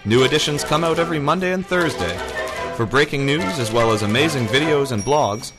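Male promo announcer over loud music. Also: mid-range voice, expressive, a little fast. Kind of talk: scripted radio promo read. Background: loud music bed.

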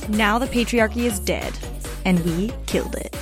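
A woman's voice: mid-range voice, normal speed. A woman delivering a playful scripted promo as a radio announcer. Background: music.

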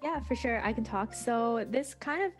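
A woman's voice: on the high side, animated, moderate pace, soft background music. Chatty young woman in her twenties. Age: 20s. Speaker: student podcaster, guest. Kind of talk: casual panel conversation.

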